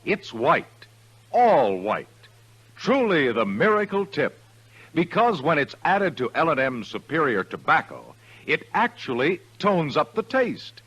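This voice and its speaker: A man's voice: a little high, very animated, unhurried, fairly bright tone, slightly rough, low-quality recording. A man reading a 1950s radio advertisement as an announcer, with an emphatic, polished sales delivery. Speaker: commercial announcer.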